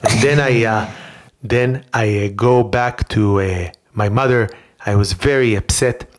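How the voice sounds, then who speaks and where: An earnest, childlike talk show host with an Israeli accent, as a comic performer plays it. The English is broken and halting, full of repetition and naive boasting. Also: mid-range voice, natural voice. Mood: proud, wistful. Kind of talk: comedic children's talk show hosting and storytelling.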